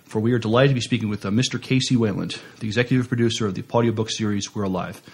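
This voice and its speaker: A man's voice: on the low side, steady tone, rapid-fire, a little mellow, breathy edge. A man co-hosting a podcast interview, speaking in a presenter's introducing style. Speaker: podcast co-host.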